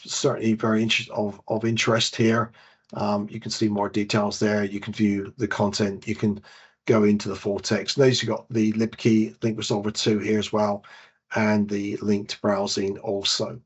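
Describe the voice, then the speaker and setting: A man with a British accent leading a software demonstration webinar as a database trainer. He explains each step while he clicks through the screens and corrects himself now and then. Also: fairly low pitch, level tone, moderate pace, breathy edge. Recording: dull lo-fi webinar audio.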